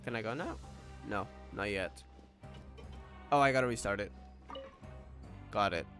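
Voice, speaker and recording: A man giving casual playthrough commentary as a streamer, thinking aloud in a low-key, self-deprecating way. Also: measured pace, fairly bright tone. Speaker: gaming streamer. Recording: game music behind the voice.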